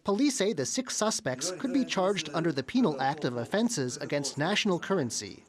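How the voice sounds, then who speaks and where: Male news reporter, voice-over, lively pitch, slightly high voice. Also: moderate pace.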